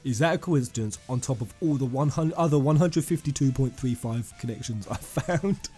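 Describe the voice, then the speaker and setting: A man hosting a fan theory video, rambling and emphatic, addressing his viewers directly in an excited commentary style. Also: medium pitch, moderate pace, husky. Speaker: gaming YouTuber, host. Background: music under the voice.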